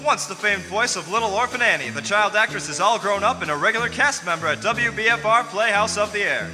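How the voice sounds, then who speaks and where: A man's voice: sits high, animated, fairly quick. A man playing a showy 1940s radio announcer, formal and grandly projected like a showman. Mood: grand, welcoming. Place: theater stage before live audience. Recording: echoey theater hall, music behind.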